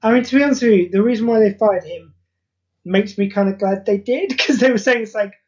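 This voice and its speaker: A podcast guest chatting at a middle pitch, animated and expressive, often acting out what others said in quick put-on voices. The recording is a touch dull and lo-fi. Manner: animated, quotes others in acted voices, frequent fillers. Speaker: podcast guest, audio drama creator. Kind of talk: casual chat. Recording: slightly dull lo-fi audio.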